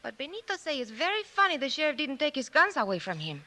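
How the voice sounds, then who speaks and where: Fiery Mexican señorita. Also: female voice, high-pitched, sing-song, fast talker, full character voice. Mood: earnest. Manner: defiant, broken English, shouting when angry. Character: fiery young Mexican woman guarding her brother. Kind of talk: 1950s radio drama acting. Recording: old radio broadcast recording.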